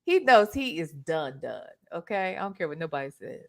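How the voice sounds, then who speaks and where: A woman's voice: deep voice, sing-song, moderate pace. A woman hosting a livestream, giving casual, slangy, blunt commentary and reading out chat messages. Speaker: livestream host.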